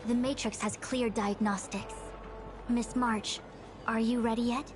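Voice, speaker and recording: A woman playing a composed, dignified female master diviner in an anime-style game, speaking formally and with measured authority. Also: fairly high pitch, fairly even intonation, music in the background, natural voice. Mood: businesslike.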